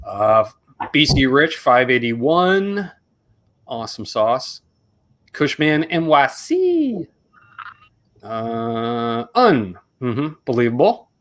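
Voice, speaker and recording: A man's voice: mid-range voice, sing-song, extremely slow, long pauses, a bit bright. A middle-aged man with a Canadian accent, hosting a casual live stream, rambling and joking as he reads out names while his voice is going. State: losing his voice, coughing. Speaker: host.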